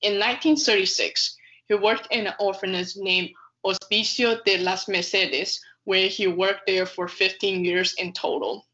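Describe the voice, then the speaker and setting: Bright-voiced woman reading narration, dull recording. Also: medium pitch, steady tone, unhurried. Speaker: video essay narrator. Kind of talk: scripted narration, read aloud.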